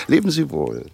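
A man's voice: lowish voice, gravelly, a little mellow, breathy edge, full character voice. An actor playing a haughty, vain suitor in a German radio drama, sneering and pompous as he draws out his words. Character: haughty, vain suitor scornful of provincial nobility.

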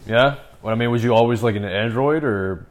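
A man's voice: medium pitch, a little fast. A man in his twenties from the United States, chatting casually in a laid-back, slangy way. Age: 20s. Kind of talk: casual chat.

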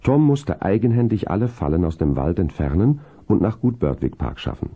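A man narrating a seventies German radio play as a calm, kindly storyteller in measured, scripted prose. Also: sits low, husky, exaggerated voice. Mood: measured storytelling.

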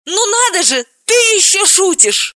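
A woman voicing an excitable, gossiping middle-aged woman friend who talks in shrill, gushing exclamations. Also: moderate pace, no echo, clean studio sound, full character voice.

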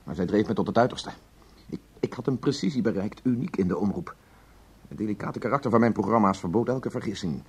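An actor playing an aggrieved, self-justifying married radio producer in a Dutch radio play, defensive and long-winded in manner. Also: male voice, fairly low pitch, expressive, quick pace, husky, full character voice. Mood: self-important.

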